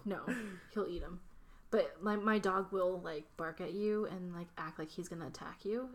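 A woman's voice: lowish voice, normal speed. A woman who runs a small business, chatting as a podcast guest, talking hesitantly with many fillers. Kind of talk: casual chat.

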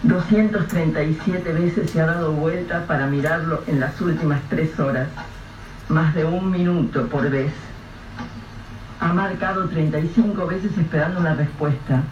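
Male radio drama narrator, measured and grave, slightly higher voice; dull, lo-fi take with light hiss. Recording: echoey, distant, dull lo-fi with faint hiss.

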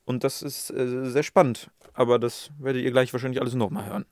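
A man's voice: medium pitch, fairly even intonation, fairly quick, a little mellow, no echo, clean studio sound. Rambling self-mocking podcast host, man. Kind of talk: casual podcast chat.

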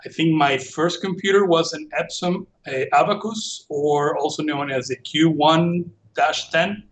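A man in his forties from Venezuela, speaking English with a Venezuelan Spanish accent, telling his story as a guest in a relaxed, self-deprecating way. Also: on the high side, measured pace, a little hiss or hum. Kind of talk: casual conversation.

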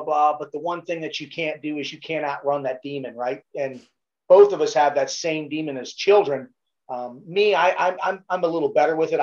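A man's voice: a little high, darkish tone, muffled recording. A middle-aged man with a Baltimore accent, a podcast guest telling laid-back, profane stories in casual chat. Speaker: podcast guest, former Navy SEAL.

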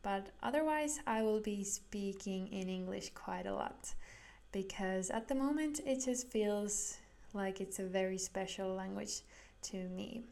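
A woman's voice: medium pitch, a little slow, creaky, darkish tone. A woman in her twenties from Finland with a Finnish accent, hosting a solo podcast in an earnest way, often restarting as she searches for words. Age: 20s. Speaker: podcast host.

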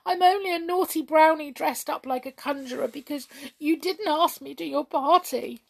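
Little storybook brownie boy, played by a woman: high, tearful, anxious, wailing and pleading like a naughty culprit. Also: expressive, unhurried, gravelly, heavily acted, poor audio. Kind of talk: children's storybook character.

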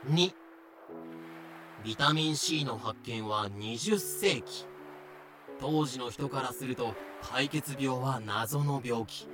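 Matter-of-fact male narrator over music. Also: mid-range voice. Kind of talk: scripted explanatory narration. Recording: music behind the voice.

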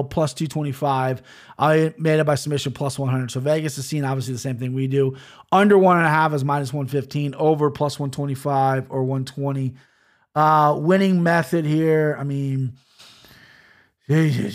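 A man breaking down fight betting lines in a casual way. He is brash and profane, and he rambles. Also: mid-range voice. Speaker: sports betting picks host.

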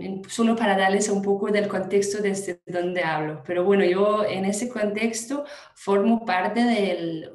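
A woman's voice: medium pitch, darkish tone, reverberant. Woman speaking careful Spanish. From Denmark. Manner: hesitant, many fillers and restarts. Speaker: guest activist. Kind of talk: conversational interview answer.

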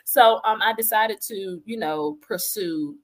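A woman's voice: mid-range voice, measured pace. A woman in her thirties from the Washington, D.C. area, a sports media guest chatting casually and animatedly with many fillers and laughs. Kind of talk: casual interview conversation.

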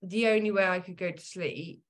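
Chatty woman with a lowish voice. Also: steady tone, moderate pace. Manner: chatty, with frequent ums and likes. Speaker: podcast co-host. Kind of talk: casual chat, reading listener mail.

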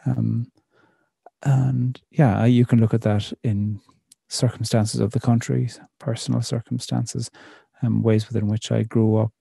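Poet, middle-aged male, dark gravelly voice, slow reflective delivery. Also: medium pitch, flat delivery, no room sound, studio quality. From Ireland. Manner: thoughtful, measured, many pauses and fillers. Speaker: poet, interview guest. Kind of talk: reflective conversational interview.

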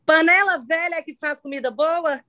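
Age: middle-aged. Sex: woman. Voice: high-pitched. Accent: faint Minas Gerais Brazilian Portuguese. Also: normal speed. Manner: chatty, warm hostess with frequent restarts. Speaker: podcast host. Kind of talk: casual interview chat.

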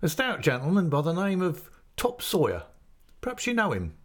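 A man's voice: a little high, huge pitch swings, normal speed, a bit bright, pitched above the performer's own voice, full character voice. A man putting on a sly, gabbing inn waiter. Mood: chatty. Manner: garrulous, wheedling, mock-dismayed. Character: chatty, greedy, sly male inn waiter.

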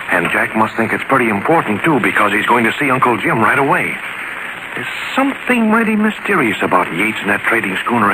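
A male radio announcer in 1940s serial style, with a high voice. He sells in a chummy, emphatic way, talking straight to young listeners. The old recording has clear noise.

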